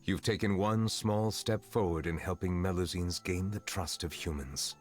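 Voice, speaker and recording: A very deep-voiced, dignified man of authority in a fantasy game, acted by a man. He is formal, measured and composed, over soft background music. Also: close to an everyday voice. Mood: solemn, measured. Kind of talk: video game voice acting.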